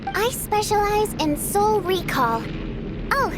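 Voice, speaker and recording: A woman voicing a chirpy, upbeat female guardian spirit who gives quick, urgent instructions in a game. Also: very high-pitched, very animated, exaggerated voice, rough recording. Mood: perky. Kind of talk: game voice acting. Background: game music.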